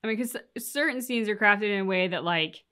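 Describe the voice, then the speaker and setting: Female reaction co-host. Bright tone, average pitch, casual chat. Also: fairly quick, smooth.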